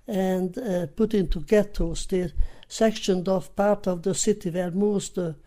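Elderly female interviewee, Hungarian accent and native Hungarian, soft mellow tone, halting personal testimony in plain short phrases. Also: mid-range voice. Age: 80s or older. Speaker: interviewee, Holocaust survivor giving testimony.

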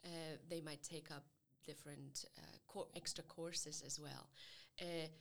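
A woman's voice: low-pitched, steady tone, a little slow, vocal fry, a bit bright. A woman from Poland with a Polish accent, a psychology lecturer in an interview, speaking carefully with many hesitations. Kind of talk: interview about university study.

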